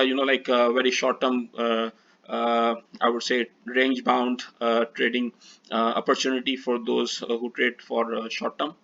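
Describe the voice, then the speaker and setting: A man's voice: medium pitch, fairly bright tone. A man whose first language is Urdu, walking through trading charts in a hesitant manner with frequent fillers. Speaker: trading analyst. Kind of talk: screen-share chart walkthrough. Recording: dull lo-fi voice recording.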